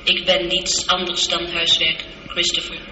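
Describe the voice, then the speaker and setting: Female ship's computer played by a woman, very flat intonation, long reverb, formal, precise, literal, radio drama. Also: lowish voice, lightly acted. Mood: calm, literal. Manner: formal, measured, literal-minded. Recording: dull, noisy, echoing old radio recording.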